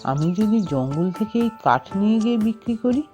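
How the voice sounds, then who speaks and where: A woman voicing an enterprising, hopeful young village man in a cartoon fairy tale, thinking aloud in a deliberate, expressive way. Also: medium pitch, natural voice. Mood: pondering, hopeful. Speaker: cartoon character voice. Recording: music bed behind the voice.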